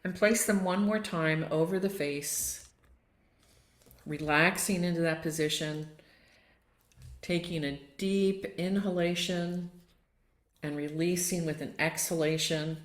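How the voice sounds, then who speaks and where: A woman leading a guided relaxation as a yoga nidra teacher, gently and without hurry, inviting listeners along. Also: lowish voice, steady tone, slow, long pauses. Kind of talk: guided meditation and relaxation instruction. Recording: roomy, echoing sound.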